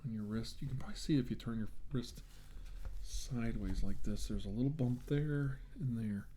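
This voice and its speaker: A man doing a soft-spoken ASMR roleplay as an examiner, talking in a hushed, reassuring way. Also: mid-range voice, moderate pace, slight background noise. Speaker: ASMR roleplayer acting as examiner treating a client.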